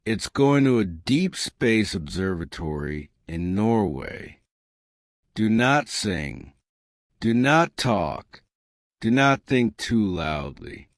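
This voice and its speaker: A man's voice: lowish voice, very animated, slow pace, no room sound, studio quality. A man voicing an ominous cargo handler in a story, grave and cautionary. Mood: ominous warning. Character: ominous male cargo handler giving hushed warnings.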